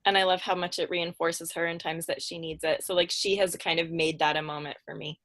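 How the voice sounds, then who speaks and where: A woman's voice: lowish voice, flat delivery, fairly quick, fairly bright tone. A woman on a podcast panel, talking casually with friends. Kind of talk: casual group podcast chat.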